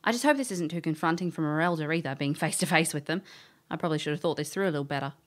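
A woman's voice: low-pitched, very fast, lightly acted. A woman playing a brash, hot-headed investigator in an audio drama, righteous and flippant, with a casual, blunt manner. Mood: flippant, breezy. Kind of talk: audio drama acting and first-person narration.

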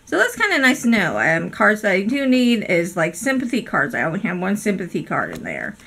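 A chatty woman hosts a casual crafting video from her craft room, her voice hoarse from a cold. Her tone is bright and forward, and she keeps apologizing and filling pauses with ums. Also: medium pitch, wildly swooping pitch, slight background noise. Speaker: craft channel host. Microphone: overhead camera, speaker off screen.

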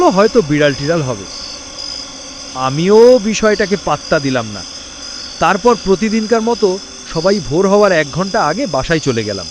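A man telling a first-person ghost story as narration for a cartoon, in a lively storytelling manner. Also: fairly high pitch, background noise. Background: loud music with crickets chirping.

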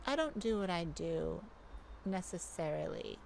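A sassy female thief, acted by a woman in a flirty ASMR roleplay. Her voice sits fairly low and swings expressively as she teases with theatrical self-assurance. There is clear ambient noise behind her. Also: lively intonation, a little mellow, poor audio. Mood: coy, musing. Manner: teasing, sassy, theatrical, drawn-out purring delivery.

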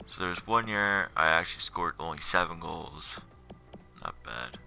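A man's voice: low voice, animated, a little slow, gravelly, pushed and bright. A tired young man in his late teens giving rambling, casual commentary on a video game. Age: late teens, seventeen. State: tired, up in the middle of the night. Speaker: video gamer narrating his career mode. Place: voice-over on game menu footage. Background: music.

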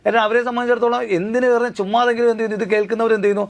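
A man giving legal commentary as a studio guest, talking fast and answering his own rhetorical questions. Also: very high voice, little pitch movement, creaky. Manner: rapid, repetitive, keeps asking himself rhetorical questions. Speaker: lawyer guest.

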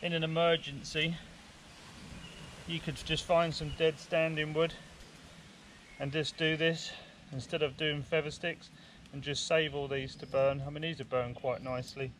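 A man's voice: a little high, little pitch movement, measured pace, fairly bright tone. A man in his forties giving a casual, hands-on bushcraft demonstration outdoors in woodland, talking informally as he works. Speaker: bushcraft instructor. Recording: clear outdoor background noise, woodland ambience.

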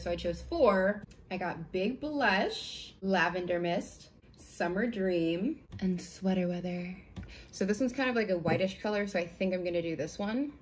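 A young American woman talks casually to camera like she is chatting with friends. Her voice sits at an average female pitch, with informal, slangy phrasing. She is recorded at home on a camera microphone, with a slight noise behind her. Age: young adult. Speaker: product reviewer vlogger. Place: small home room, indoors.

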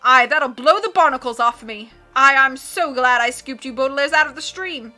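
A woman reading aloud and voicing a blustering male sea captain who booms and keeps saying "aye". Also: moderate pace, bright, forceful tone. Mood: boisterous. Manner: bellowing, peppers speech with "aye".

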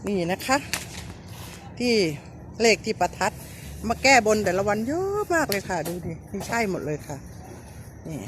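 A woman's voice: mid-range voice, animated, moderate pace, long pauses. Chatty woman vlogging outdoors, traffic behind. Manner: chatty, polite, points things out to the camera. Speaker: vlogger showing a temple visit. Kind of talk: casual vlog narration. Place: outdoors at a busy temple by parked vehicles. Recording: clear outdoor ambience and vehicle noise.

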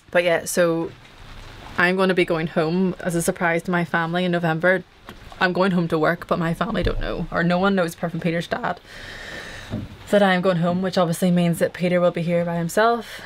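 A woman's voice: on the low side, a little hiss or hum, rough recording. A young woman vlogging casually from a small boat cabin, chatty and rambling with lots of fillers. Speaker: sailing vlogger. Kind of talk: casual chat to camera. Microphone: handheld camera at arm's length.

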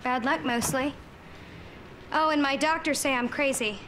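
A woman's voice: high voice, steady tone, normal speed, a bit bright, a little hiss or hum. An actress playing an eccentric, candid and paranoid homeless woman in a sitcom, speaking matter-of-factly. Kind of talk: sitcom acting.

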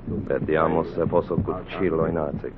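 An actor playing a tense, commanding surgeon in a 1940s radio drama, speaking tersely. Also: male voice, extremely low pitch, fairly even intonation, normal speed, a crowd in the background. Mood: tense focus. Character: tense, focused surgeon mid-operation. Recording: muffled old radio, voices behind.

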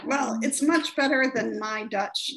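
High-voiced, reassuring woman co-hosting a class. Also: a bit bright. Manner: reassuring, quick to laugh. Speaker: class co-host relaying viewer questions. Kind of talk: live online class hosting.

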